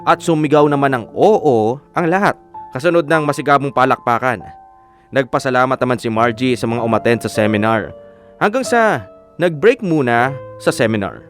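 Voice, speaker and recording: Actor as sincere lovestruck filipino guy narrating radio drama, measured storytelling, guitar music clearly behind him. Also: medium pitch, lively intonation, close to an everyday voice. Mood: earnest. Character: earnest, lovestruck young man narrating his own story. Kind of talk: scripted first-person radio drama narration. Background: plucked guitar music.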